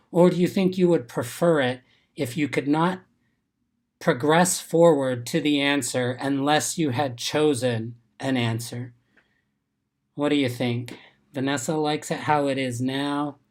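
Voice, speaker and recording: A man recovering from an illness, sounding a little congested, hosting a live exam-prep webinar and reading questions and answers from the chat in an upbeat, encouraging way. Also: on the high side. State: congested, getting over an illness.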